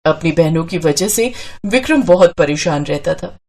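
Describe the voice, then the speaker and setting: A woman's voice: low-pitched, expressive, normal speed, darkish tone. A woman narrator reading a scripted story in a storytelling style.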